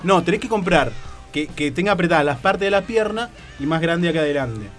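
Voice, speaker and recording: A young man with a Rioplatense accent hosting a casual radio show, hoarse from a cold and joking around. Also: on the high side, expressive, fairly quick, fairly bright tone. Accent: Rioplatense Spanish. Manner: joking, teasing.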